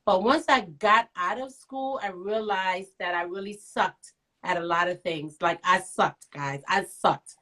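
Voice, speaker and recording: Middle-aged woman from Liberia, guest storyteller, playful and teasing, mid-pitched, swings up high when excited. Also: measured pace. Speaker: guest, nail professional and author. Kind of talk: casual interview.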